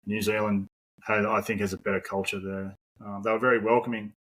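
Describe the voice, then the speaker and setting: Husky, forceful Australian man in his forties. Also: lowish voice, flat delivery, fairly quick, rough recording. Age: 40s. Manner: rambling, many fillers, casual swearing. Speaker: podcast guest. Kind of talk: casual conversational interview.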